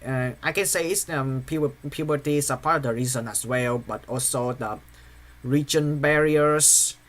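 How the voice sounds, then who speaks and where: Young man, Vietnamese accent, halting, mid-pitched, soft and apologetic confession. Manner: halting, hesitant, many fillers and restarts. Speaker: channel host.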